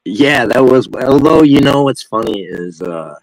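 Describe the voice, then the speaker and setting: A man's voice: mid-range voice, no echo, rough recording. A man co-hosting a livestream, with a nasal, slightly whiny voice, chatting casually and laughing often. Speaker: livestream co-host. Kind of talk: casual chat.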